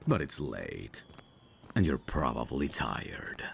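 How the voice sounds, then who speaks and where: Dangerous male mafia boss, played by a voice actor, ASMR audio drama: slow, lively intonation, self-assured teasing, protective streak. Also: very deep voice, vocal fry, slight background noise, exaggerated voice. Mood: gentle, coaxing.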